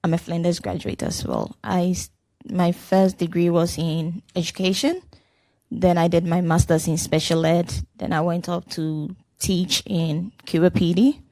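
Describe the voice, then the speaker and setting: A woman from Ghana, a former teacher, introducing herself to a class in a measured way. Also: on the low side, fairly even intonation, mellow, dry recording. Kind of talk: self-introduction at a welcome session.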